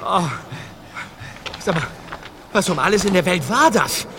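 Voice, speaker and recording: Eager young detective sidekick, urgent, lively audio drama voice over clear outdoor noise. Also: male voice, high voice, a little slow. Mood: startled, urgent. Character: energetic, excitable young male detective sidekick. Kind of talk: German audio drama acting.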